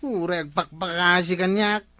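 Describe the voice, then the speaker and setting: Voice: medium pitch, fairly even intonation, normal speed, pushed and bright, dry recording, natural voice. A performer playing a fretful, complaining villager in an Ilocano comedy drama.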